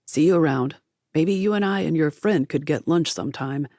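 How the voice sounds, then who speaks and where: A woman's voice: sits low, moderate pace, a little mellow, no room sound. A woman voicing a guarded young American man in an audiobook, speaking in a dry, clipped way that deflects small talk. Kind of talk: audiobook character voice.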